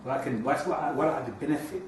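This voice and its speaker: A man interviewing, speaking haltingly with many restarts, repeated words and fillers. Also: mid-range voice. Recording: echoey room, from far off, faint noise.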